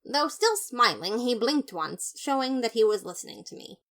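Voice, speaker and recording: A woman's voice: a little high, sing-song, moderate pace, a bit bright. A woman reading first-person fan fiction as a dramatized, emphatic narration. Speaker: podfic reader.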